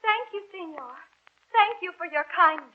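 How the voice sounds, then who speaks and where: High, gentle young maiden in old radio. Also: female voice, animated, moderate pace. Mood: gentle, shy. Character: gentle, gracious, beguiling young daughter of a scientist. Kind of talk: 1940s radio acting. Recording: muffled 1940s radio recording.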